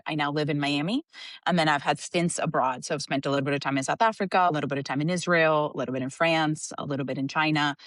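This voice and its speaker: A woman from Cuba, a psychologist guest on a podcast, talking in a reflective and animated way with frequent laughs. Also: low voice, no echo, clean studio sound. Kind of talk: casual interview talk.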